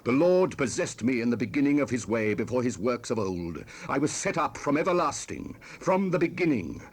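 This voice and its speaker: A man's voice: mid-range voice, sing-song, moderate pace, husky, voice pushed up. Man proclaiming as exalted, righteous spirit of Wisdom. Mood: exalted, commanding. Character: Wisdom personified, proud, righteous, ancient female spirit. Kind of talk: dramatized Bible reading. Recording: 1970s cassette rip with hiss.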